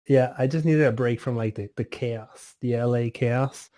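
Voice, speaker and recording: A man in his twenties from Italy, a music producer chatting casually as a guest, relaxed and often laughing, with many fillers. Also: medium pitch, moderate pace, no echo. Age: 20s. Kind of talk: casual interview over a call.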